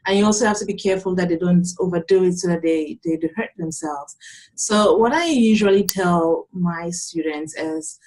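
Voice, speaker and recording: A young adult woman from Cameroon, a yoga teacher calmly and earnestly explaining her practice in an interview. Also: lowish voice, moderate pace, low-quality recording. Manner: calm, earnest, explanatory with frequent restarts. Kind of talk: interview answers, conversational.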